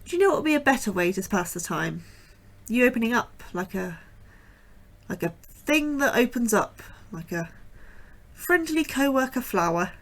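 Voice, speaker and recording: A woman's voice: a little high, big pitch swings, moderate pace. An actress playing a sarcastic, anxious young astrobiologist who narrates her days at the base. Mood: coaxing. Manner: wry, chatty, sarcastic. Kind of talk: audio drama acting and narration.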